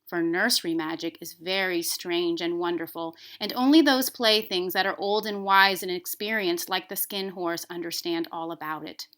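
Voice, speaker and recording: A woman school librarian reading aloud for young children, with expressive, careful phrasing. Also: fairly low pitch, normal speed, few pauses. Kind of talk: children's storybook read-aloud.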